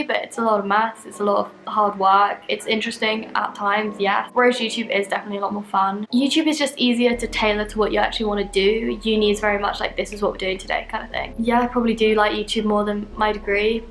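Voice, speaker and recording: A woman's voice: medium pitch, fairly even intonation, fairly quick, few pauses, fairly bright tone, roomy sound, slight background noise, rough recording. A 20-year-old woman from London with a southern British English accent, chatting casually and candidly to camera as a vlogger. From London, England.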